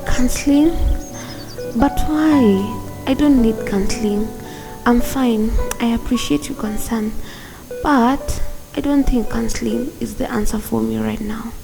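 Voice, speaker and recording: A woman's voice: a little high, a little slow, gravelly, over music, rough recording. A woman playing a regretful, stubborn young student in a radio drama, with a hesitant, defensive manner. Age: young adult.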